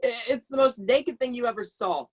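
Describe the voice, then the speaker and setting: A young man playing a troubled, impassioned teenage boy in a halting, emphatic theatrical monologue. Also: very high-pitched, breathy edge. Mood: indignant. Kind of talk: theatrical monologue, staged reading. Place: remote video call.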